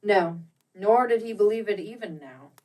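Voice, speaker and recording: A woman narrating a classic ghost story as an audiobook, with theatrical, expressive and deliberate phrasing. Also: medium pitch, far from the mic, poor audio. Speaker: audiobook reader.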